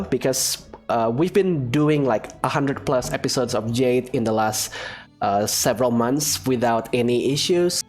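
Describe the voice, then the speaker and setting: A woman's voice: extremely low pitch, darkish tone. A woman casually introducing her fan dub of a game, with fillers and a rambling manner. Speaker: game narrator and fan dubber.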